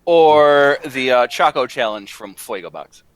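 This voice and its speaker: A man's voice: mid-range voice, lively intonation, measured pace, clean tone, rough recording. A young man chatting casually as a cast member of a live script-reading show. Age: young adult. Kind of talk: casual chat.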